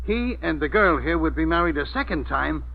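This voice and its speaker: High-voiced, folksy radio police inspector. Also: male voice, animated, brisk, creaky. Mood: genial. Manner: hesitant, folksy, puzzled. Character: slow-witted, gruff but genial police inspector. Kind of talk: 1940s radio mystery acting. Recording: muffled old radio broadcast recording.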